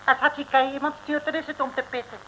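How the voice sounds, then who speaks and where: A woman's voice: high-pitched, level tone, fast, pushed and bright. An actress playing a sly, brusque old landlady in a vintage radio serial, with dry delivery. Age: older. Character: curt, sly old landlady serving a crime boss. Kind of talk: early-1960s radio serial acting. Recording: noisy vintage radio recording.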